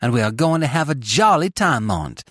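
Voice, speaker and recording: A man voicing a brisk, chatty middle-aged country aunt who rattles on in rustic fashion, as a storybook character. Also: medium pitch, big pitch swings, fairly bright tone, no room sound, clean studio sound. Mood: brisk, merry.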